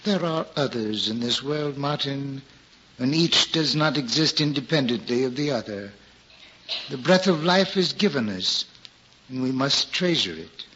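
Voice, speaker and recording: An actor playing a devout old pilgrim in a 1940s radio drama, earnestly counselling in solemn, measured, old-fashioned speech. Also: male voice, mid-range voice, expressive, a bit bright. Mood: earnest counsel. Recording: muffled 1940s radio recording, faint noise.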